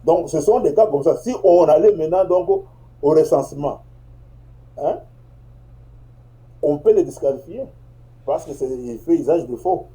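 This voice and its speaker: Very dark-toned man explaining. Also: a little high, expressive, normal speed, pauses often, low-quality recording. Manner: explanatory, repeats phrases, checks in with "tu comprends?". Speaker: guest commentator. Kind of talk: political talk show discussion.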